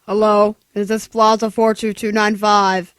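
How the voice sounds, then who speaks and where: A woman's voice: medium pitch, little pitch movement, measured pace, smooth, no room sound, lightly acted. A woman playing a businesslike telegraph clerk with a formal, detached delivery in a 1940s-style radio play. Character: businesslike, impersonal telegraph clerk reading out messages.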